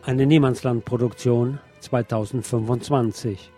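Ein Mann, der als Sprecher einer Radiosendung einen literarischen Sachtext auf Deutsch mit deutschem Akzent vorliest. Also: male voice, medium pitch, fairly even intonation, a little slow, music in the background. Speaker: radio programme presenter reading a book chapter. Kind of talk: scripted narration, literary reading.

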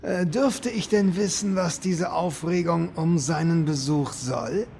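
A creaky, higher-pitched servant creature drags his words slowly. He is obsequious on top and drily sly underneath, in a fantasy parody radio play with faint noise behind. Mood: sly, deadpan. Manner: obsequious, deadpan, muttered asides. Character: servile, slow-spoken minion with sly dry wit. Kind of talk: German audio drama parody acting.

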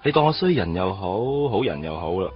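A man playing a smooth-talking playboy suitor, coaxing and self-mocking in a radio drama. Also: low voice, sing-song, a little fast, soft background music. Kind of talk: Cantonese radio drama acting. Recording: dull lo-fi radio recording.

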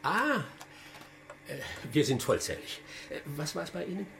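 An actor playing an officious, demanding agency boss with a formal, repetitive manner in a German radio play. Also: male voice, on the high side, sing-song, measured pace, vocal fry, pushed and bright, exaggerated voice. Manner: formal, repetitive, slightly flustered. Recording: noisy ambient background.

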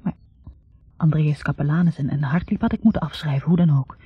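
Young intellectual woman, very breathy husky voice, bitter and conflicted, halting confessions, 1960s radio play, muffled recording. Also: sits low, dry recording. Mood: conflicted, regretful. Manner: halting, confessional, repeating words. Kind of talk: 1960s radio drama acting.

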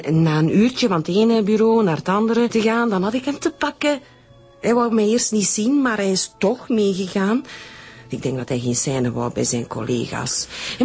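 A woman playing a cornered, unhappily married woman with a husky voice in a radio drama. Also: low voice, darkish tone. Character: cornered married woman confessing a secret love affair. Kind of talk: naturalistic radio drama acting.

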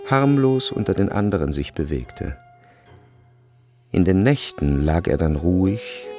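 A man narrating a literary radio drama in a measured, scripted delivery. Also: lowish voice, gravelly, a little mellow.

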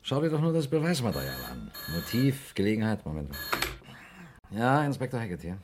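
An actor playing a brisk, probing police inspector in a 1960s German radio crime play, with an official, matter-of-fact manner. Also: male voice, fairly low pitch, big pitch swings, background noise. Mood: businesslike.

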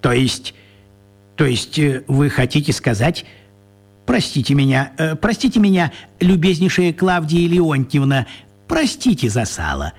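A little old wizard gentleman in a children's radio drama. His voice is rather high and rough-edged, bobbing up and down in pitch. He is flustered, over-polite and apologetic, often repeating himself. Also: very animated, unhurried, exaggerated voice. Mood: agitated, apologetic. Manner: fussy, hesitant, courteous, repeats himself. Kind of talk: children's radio play acting.

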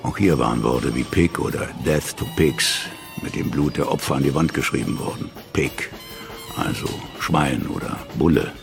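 An actor playing a hard-boiled male police detective in a radio drama, with a gravelly voice. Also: very deep voice, expressive, normal speed, music in the background, exaggerated voice. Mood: grim, matter-of-fact.

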